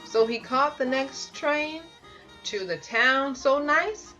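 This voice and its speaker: Slow, high-voiced woman reading a story. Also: muffled recording, over loud music. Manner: theatrical, playful, dramatic pauses. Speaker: storybook reader. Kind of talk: expressive read-aloud of a children's picture book.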